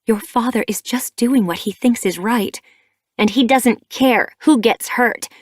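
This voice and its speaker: A woman's voice: on the high side, unhurried, no echo, studio quality, voice pushed up. A woman reading an audiobook, voicing an earnest, defensive mother she-cat who reasons firmly.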